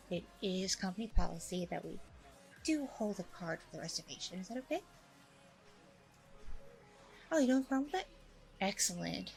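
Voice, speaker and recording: A woman acts out a smug female boss of an alpha training center in an audio roleplay. She talks in a breezy, chatty way with polished front-desk politeness and plenty of self-satisfaction. Her voice sits at a medium pitch, with music behind it. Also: vocal fry, rough recording. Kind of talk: ASMR roleplay, casual chatty delivery.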